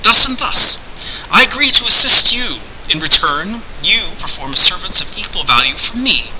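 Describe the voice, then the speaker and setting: A man's voice: sits high, animated, moderate pace, background noise, voice pushed up. A man voicing a commanding, courteous unseen sorcerer who speaks in formal, declamatory archaic phrases. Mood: formal, bargaining.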